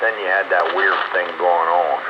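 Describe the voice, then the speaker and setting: Low-voiced man through very loud static. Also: steady tone, moderate pace, lo-fi sound. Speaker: amateur radio operator. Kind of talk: casual on-air radio chat. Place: two-way radio link. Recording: band-limited radio transmission, very loud static.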